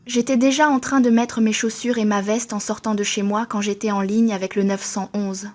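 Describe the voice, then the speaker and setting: A woman reading a first-person horror story in a steady, even storytelling manner. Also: medium pitch, normal speed. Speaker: narrator.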